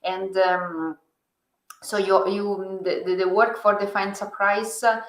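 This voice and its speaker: A woman hosting a live public talk, speaking haltingly with frequent pauses and fillers. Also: mid-range voice, fairly even intonation. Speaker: event host and interviewer. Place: museum hall. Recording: echoey hall.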